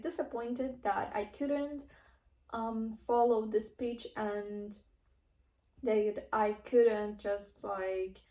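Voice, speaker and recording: A young woman from Russia with a Russian accent, talking earnestly to camera as she teaches English, often pausing on fillers and restarting her sentences. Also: medium pitch, a little mellow. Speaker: English-learning vlogger. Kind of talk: casual teaching monologue to camera. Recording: echoey room.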